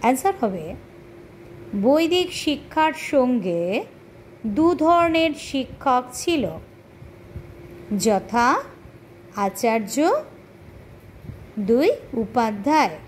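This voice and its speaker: A woman teaching a question-and-answer lesson, pausing deliberately between short phrases as if dictating to pupils. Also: sits high, animated, a little hiss or hum. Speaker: teacher presenting a history lesson.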